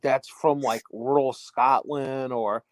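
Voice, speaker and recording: A man's voice: mid-range voice, steady tone, slow pace, no echo. An American man from Louisiana hosting a casual chat in an easygoing, jokey storytelling manner. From Louisiana, United States. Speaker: host.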